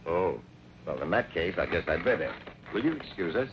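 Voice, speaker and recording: An actor playing a polite, formal and smooth-mannered villain in an old-time radio western. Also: male voice, deep voice, sing-song, brisk, noisy background. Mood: polite, reluctant. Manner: courteous, formal. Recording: dull old radio recording.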